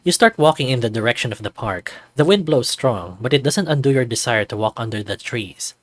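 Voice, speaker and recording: A man's voice: mid-range voice, lively intonation, fairly quick, dry recording, faint music behind. A man reading a visual novel as a narrator, with casual, musing asides. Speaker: visual novel reader and commentator.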